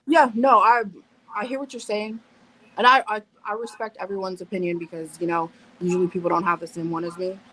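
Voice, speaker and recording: A woman's voice: mid-range voice, fairly even intonation, a little fast, a bit bright, rough recording. A woman in her thirties, a guest on a live panel, talking bluntly, cursing, restarting and over-explaining. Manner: blunt, profane, self-interrupting, over-explains. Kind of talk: casual live panel discussion.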